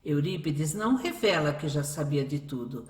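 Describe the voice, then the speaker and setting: A woman's voice: deep voice, expressive, normal speed, a little mellow. A woman narrating a scripted radio drama as a storyteller. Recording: echoey room.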